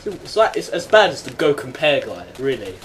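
A young man chatting casually as a gaming co-host, excitable, given to jokey complaining and yelling. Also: mid-range voice, normal speed. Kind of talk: casual gameplay banter. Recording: clear noise, game sound behind. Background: video game sounds.

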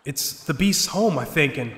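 An actor playing a bleak, sardonic survivor who has given up on living, in a sci-fi audio drama. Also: male voice, fairly high pitch, lively intonation, moderate pace, fairly bright tone. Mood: horrified. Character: despairing, bitter male survivor resigned to death. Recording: echoey cavernous hall reverb.

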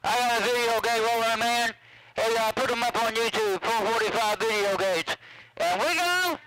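A man's voice: very high voice, normal speed, noisy background. A man from Mississippi chatting casually as a CB radio operator, folksy, repeating himself in radio slang. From Mississippi, USA.

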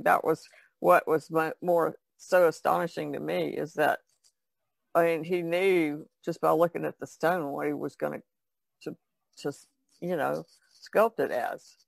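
Very creaky, higher-pitched man's voice. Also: no echo. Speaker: podcast panelist. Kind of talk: casual discussion.

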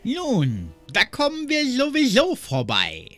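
A woman's voice: fairly high pitch, wildly swooping pitch, slow, no room sound, soft background music, heavily acted. A woman playing a pondering river sailor in a fantasy audio drama, musing in a drawl.

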